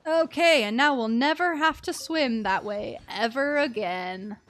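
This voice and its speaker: A young woman live-streaming a game, chatting casually with an expressive, playful manner. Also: on the high side, a little slow. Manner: expressive, playful, exclaims and chants little words.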